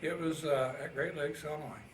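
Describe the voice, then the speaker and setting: Man around eighty, Midwestern American accent, halting folksy recollection, interviewed veteran, echoey room, faint background noise. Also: fairly high pitch, steady tone. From Indiana, United States. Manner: halting, folksy plain speech. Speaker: Navy veteran being interviewed. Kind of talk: casual oral history recollection. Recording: roomy, distant mic, faint noise.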